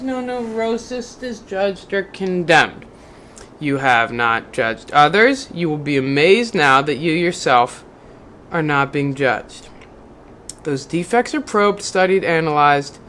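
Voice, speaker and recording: A vlogger who reads a book passage aloud in a raised, measured voice, then talks casually and bluntly with plenty of swearing and rambling fillers. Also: mid-range voice, a bit bright, slight background noise. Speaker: video maker reading a book aloud.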